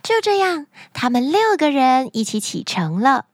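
A woman hosting a children's story show, speaking to young listeners in an animated, expressive storytelling manner. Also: high-pitched, moderate pace, no echo, studio quality. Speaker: children's story podcast host.

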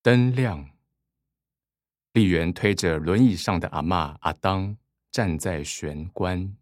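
A man's voice: sits low, takes it slowly, no echo, clean studio sound. A man reading scripted narration and stage directions for a radio drama.

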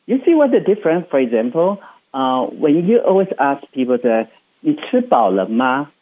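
A man's voice: medium pitch, big pitch swings. Taiwanese man, phone-like radio sound. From Hsinchu, Taiwan. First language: Chinese. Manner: enthusiastic, explanatory, halting second-language English. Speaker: interview guest, travel writer and radio host. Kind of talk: chatty radio interview.

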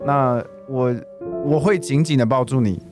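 A man's voice: mid-range voice, measured pace, music in the background, close to an everyday voice. A young man acting in a radio drama as a teasing, earnest man in his forties courting an old friend. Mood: romantic, pleading. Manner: teasing, persuasive.

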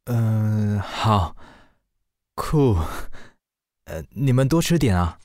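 Flustered gentle dad, animated pitch, Taiwanese accent. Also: male voice, drawn-out words, heavily acted. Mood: hesitant. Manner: hesitant, sighing, soft-hearted. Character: gentle, flustered widowed father of two children. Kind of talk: Mandarin radio drama acting.

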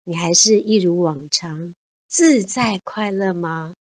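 A woman's voice: on the low side, darkish tone, no room sound. Cheerful woman in her fifties, guest chat. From Taiwan. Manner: cheerful, laughs often, quick bursts of enthusiasm. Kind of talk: casual interview chat.

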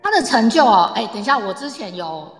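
A woman's voice: fairly high pitch, very animated, fast, faint music behind. A woman with a Taiwanese Mandarin accent explaining things casually as a guest presenter, mixing in English words. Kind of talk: casual explanatory talk with audience. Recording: echoey, reverberant room sound.

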